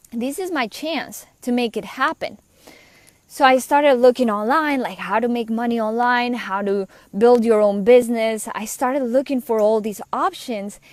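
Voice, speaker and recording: A Colombian woman, a business coach with Spanish as her first language, telling her own story in an earnest, conversational way with quick asides. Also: a little high, no echo. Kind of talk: personal story monologue.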